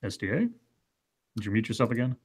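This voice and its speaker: A man in his late thirties co-hosting a casual online chat show, reading viewer comments aloud with dry, deadpan reactions. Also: on the low side, fast talker, husky. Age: late 30s.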